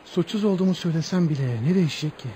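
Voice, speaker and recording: Earnest young suitor, high mellow voice. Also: male voice, rapid-fire, husky. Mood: resigned, solemn. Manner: earnest, theatrical. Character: earnest, awestruck poor young suitor, humble. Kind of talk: Turkish radio theatre acting. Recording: noisy old radio drama recording.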